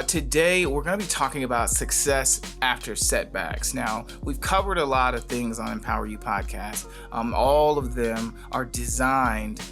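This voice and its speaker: A man's voice: medium pitch, creaky, fairly bright tone. A man hosting a podcast, introducing the show and his guest in an upbeat way with many ums and uhs. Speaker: podcast host.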